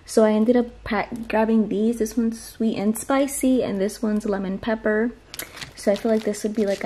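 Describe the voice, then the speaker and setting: A woman's voice: fairly high pitch, mellow, a little hiss or hum. Chatty young woman vlogging. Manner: chatty, filler-heavy, upbeat. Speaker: lifestyle vlogger. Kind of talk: casual chat about groceries and meal prep. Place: small apartment living room.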